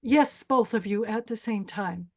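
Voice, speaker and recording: A woman's voice: mid-range voice, a little slow, darkish tone. A woman playing a menacing, deranged pageant host who bosses and threatens contestants in a screenplay table read. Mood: bossy, sardonic. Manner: bossy, threatening.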